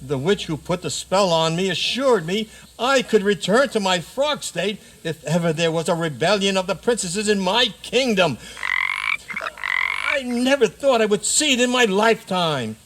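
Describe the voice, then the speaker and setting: A man's voice: high voice, lively intonation, measured pace, a bit bright. A man acting a jubilant former frog prince in a comic radio play, theatrical and exclamatory. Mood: amazed, elated. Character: jubilant fairy-tale prince who was once a frog. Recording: clear background noise.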